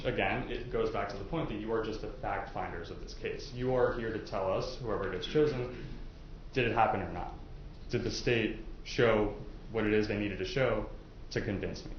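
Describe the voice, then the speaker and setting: A man with a fairly low voice questions potential jurors in a conversational, explanatory style. The room echoes heavily, and the recording is muffled with faint noise behind it. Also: normal speed. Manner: informal, explanatory, hedging with casual asides. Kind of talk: courtroom jury questioning, conversational. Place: indoor courtroom.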